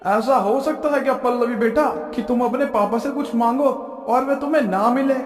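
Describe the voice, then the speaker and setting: An actor playing a doting, indulgent wealthy father in an animated moral story. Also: very high-pitched, normal speed. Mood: indulgent, fond. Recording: echoey hall reverb with music.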